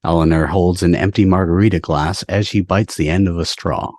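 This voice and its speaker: Man, very low pitch, scripted narration, stage directions, dry recording. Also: steady tone, brisk, darkish tone, dry recording. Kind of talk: scripted narration at a table read. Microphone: video call.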